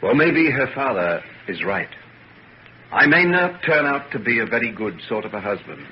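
An actor playing an earnest, brooding young gentleman in an old-time radio drama, with an intense, clipped delivery. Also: medium pitch, very animated, moderate pace, lightly acted. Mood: troubled. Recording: muffled, noisy old radio recording.

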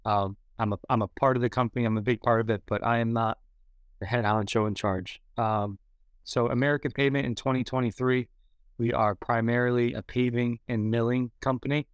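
A man's voice: medium pitch, fairly even intonation, fairly quick, clear, resonant tone, no room sound. A man of about thirty talking casually as a podcast guest, laid-back and matter-of-fact with many fillers. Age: 30s. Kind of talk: casual interview talk.

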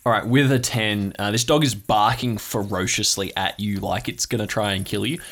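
A man's voice: on the low side, steady tone, moderate pace, fairly bright tone. A man narrating as game master in an improvised tabletop story, describing scenes in an informal, conversational way.

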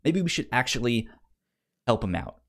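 A man co-hosting a film podcast in casual conversation, speaking haltingly and often repeating sounds and syllables as he goes. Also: medium pitch, sing-song, creaky.

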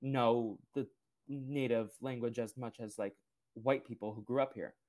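A man in his twenties, a podcast host whose first language is Portuguese, chatting casually with relaxed, rambling talk full of fillers. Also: medium pitch, measured pace. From Brazil. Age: 20s. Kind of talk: casual interview chat.

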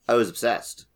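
A man in his thirties co-hosting a gaming podcast in casual, conversational style. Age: 30s. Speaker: podcast co-host.

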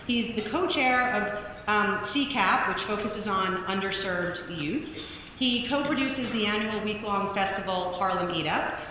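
A woman's voice: medium pitch, pushed and bright, a little hiss or hum. A woman moderating an onstage conversation, reading out an upbeat introduction of her guest and then asking questions. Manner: upbeat, reads a long list. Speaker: interviewer, event moderator. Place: large room at an event. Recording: very echoey hall, faint ambience.

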